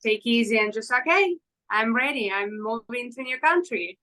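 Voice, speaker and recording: A woman in her thirties from Ukraine, a fashion designer answering interview questions in halting with many fillers. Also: a little high, expressive, sharp tone. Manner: halting non-native English with fillers.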